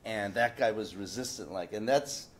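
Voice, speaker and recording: A man's voice: on the low side, normal speed. A man on a discussion panel telling a casual, rambling anecdote full of fillers, getting indignant as he goes.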